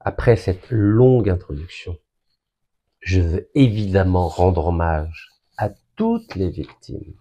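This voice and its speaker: A man from Algeria giving an emphatic, deliberate public speech that builds long lists of points. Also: deep voice, big pitch swings, dark tone, dull audio. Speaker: political speaker.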